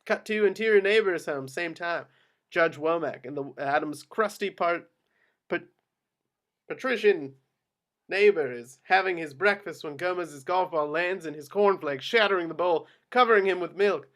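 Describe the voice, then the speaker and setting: Male stage-directions narrator, fairly high voice, scripted screenplay read. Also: normal speed, a bit bright.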